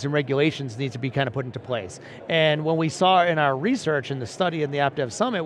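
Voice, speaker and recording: A man in his forties, a principal analyst, steadily explaining research findings in a seated conversational interview at a convention booth. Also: a little high. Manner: steady explanatory, cites statistics, frequent "right?". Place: busy convention show floor booth.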